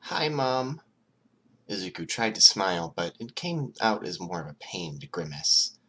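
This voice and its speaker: An audiobook narrator reads fiction in a husky, slightly breathy voice. The delivery is expressive, with lively movement in pitch. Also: normal speed.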